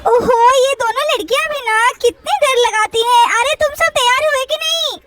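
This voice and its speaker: An anxious, affectionate Indian-family-drama mother, acted out by a woman storyteller, fussing over her daughters. The voice is extremely high and bright, full of exclamations in a play-acted story. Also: normal speed, a little hiss or hum. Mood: fretting, impatient.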